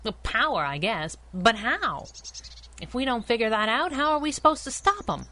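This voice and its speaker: Sassy young superhero sidekick. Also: female voice, fairly high pitch, big pitch swings, moderate pace, sharp tone. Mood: earnest. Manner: wisecracking, slangy, coy. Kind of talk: 1930s-style radio drama acting.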